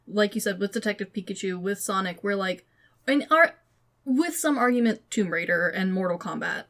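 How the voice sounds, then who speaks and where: A woman with a mid-pitched voice talks as a podcast co-host. Her delivery is informal and conversational, and she states her opinions freely. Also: lively intonation, normal speed, fairly bright tone. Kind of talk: casual chat, film and game discussion.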